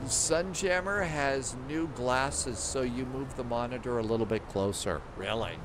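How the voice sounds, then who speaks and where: A man's voice: medium pitch, measured pace. Man chatting casually over music. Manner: rambling, thinks aloud with restarts. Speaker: livestream host. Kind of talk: casual live-stream chat reading viewer comments. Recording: clear background music.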